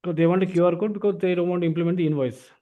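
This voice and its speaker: A man with an Indian accent explaining software as an instructor, often tagging phrases with "actually". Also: sits high, fairly even intonation, very fast, phone-quality sound. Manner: repeatedly tags phrases with "actually". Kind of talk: explanatory software training lesson.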